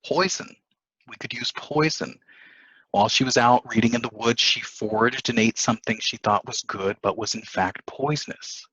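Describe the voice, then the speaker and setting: A man's voice: medium pitch, normal speed, bright, forceful tone, slightly rough, no room sound. A man playing a coldly calculating newlywed husband in a table reading of a stage play, in dull audio. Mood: persuasive, sly.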